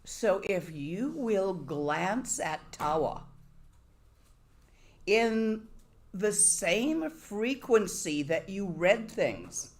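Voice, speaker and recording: A woman's voice: medium pitch, lively intonation, very slow. A woman giving a Buddhist dharma talk to a live audience, conversational and wry, often asking her listeners questions. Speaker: Buddhist teacher.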